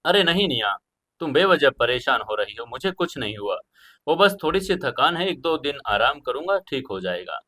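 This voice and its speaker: A man playing a gentle young hero who reassures others and hides his pain in an audio story. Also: medium pitch, big pitch swings, moderate pace. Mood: evasive, reassuring. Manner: soft-hearted, evasive, reassuring.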